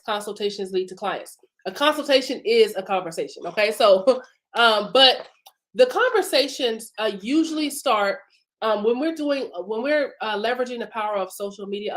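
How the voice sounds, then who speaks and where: A woman business coach hosting a live broadcast, chatting informally with many fillers while congested from a cough and sore throat. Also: on the high side. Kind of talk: casual live-stream teaching.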